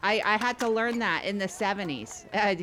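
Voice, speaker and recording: A woman's voice: mid-range voice, lively intonation, normal speed, bright, forceful tone, soft background music. A woman playing an earnest, scatterbrained small-town podcast host who rambles and repeats herself matter-of-factly in improvised comedy banter. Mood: earnest, chatty.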